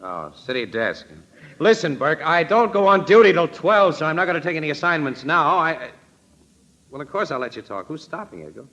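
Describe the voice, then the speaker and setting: Wry, grumbling newspaper photographer, vintage radio. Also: male voice, a little high, sing-song, fairly quick, pushed and bright, muffled recording. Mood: brisk, alert. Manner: grumbling, slangy tough-guy talk. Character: wry, put-upon male newspaper crime photographer. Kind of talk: old-time radio drama acting. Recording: vintage radio drama recording.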